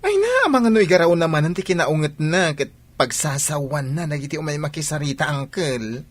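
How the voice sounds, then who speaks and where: A man's voice: fairly high pitch, sing-song, moderate pace. A man playing a worried young groom-to-be in an Ilocano radio comedy drama. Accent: Filipino, Ilocano.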